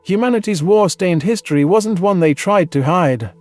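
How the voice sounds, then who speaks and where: A man reading a dramatized science fiction story in the first person, earnest and measured with a dramatic delivery. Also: high-pitched, expressive, darkish tone, no room sound, soft background music. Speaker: audiobook narrator.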